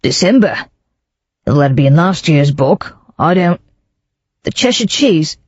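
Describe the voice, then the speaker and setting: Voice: animated, slow, slightly rough, no echo. A reader doing a smarmy Cockney salesman. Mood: wheedling, nervous. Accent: Cockney overlaid with refinement. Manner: wheedling, panicky, raised pitch. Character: smarmy Cockney travelling salesman in his thirties. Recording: dull lo-fi, dry.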